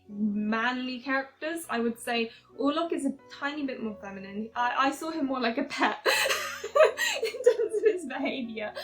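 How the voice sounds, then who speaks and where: A young English woman with a fairly high voice talks casually, hesitating and restarting often. Soft guitar music plays behind her. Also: poor audio. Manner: chatty, hesitant with many fillers and restarts. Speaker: game reviewer. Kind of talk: casual video review.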